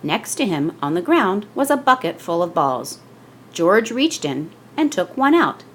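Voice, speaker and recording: Expressive woman reading a children's storybook. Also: fairly low pitch, a little slow, slight background noise. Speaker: children's book reader. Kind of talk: scripted storybook narration for children.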